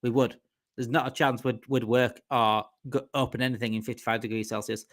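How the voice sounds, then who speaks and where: A man's voice: mid-range voice, little pitch movement, a little fast. Chatty Yorkshire man hosting a livestream. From Yorkshire, England. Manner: rambling and chatty, repeats himself, reads out viewer comments. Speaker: livestream host. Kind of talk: casual live-stream chat.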